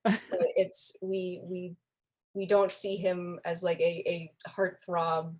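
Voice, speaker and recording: Low-pitched young woman in lively discussion. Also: slow, low-quality recording. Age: young adult. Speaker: podcast guest, fan community member.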